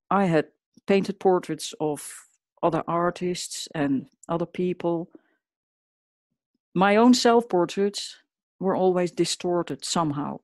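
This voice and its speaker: A woman with a Dutch accent speaks in a fairly low voice, earnest and reflective, her phrasing now and then a little non-native. The sound is dry and close, with no room around it. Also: darkish tone, dry recording. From Netherlands. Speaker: guest artist. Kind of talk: podcast interview.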